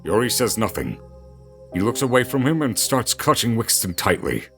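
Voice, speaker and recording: A man's voice: medium pitch, very animated, moderate pace. A man narrating a scripted fantasy audio drama in a dramatic storytelling style. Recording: music under the voice. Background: music.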